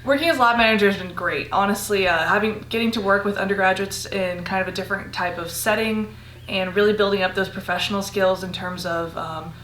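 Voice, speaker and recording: A woman in her twenties, a graduate student from Costa Rica, answering interview questions in a relaxed way with many fillers. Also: medium pitch, fairly quick. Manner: easygoing, many fillers and likes. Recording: echoey room with faint hum.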